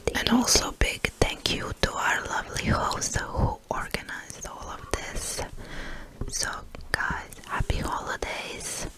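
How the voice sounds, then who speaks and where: A woman's voice: unhurried, rough recording. A woman with a Croatian accent whispering ASMR, chatty and a bit hesitant, with light giggles. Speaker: ASMR collab guest.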